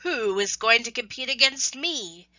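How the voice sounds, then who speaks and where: A woman's voice: fairly high pitch, lively intonation, unhurried, sharp tone, no room sound, highs cut off, voice pushed up. A woman voicing a boastful, domineering tiger in a children's folktale, haughty and emphatic. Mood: smug challenge.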